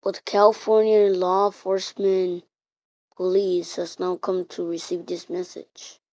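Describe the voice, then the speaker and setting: A woman reading out an improvised mock emergency alert in a halting way, repeating and restarting her words. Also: lowish voice, flat delivery, mellow. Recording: muffled, roomy home recording.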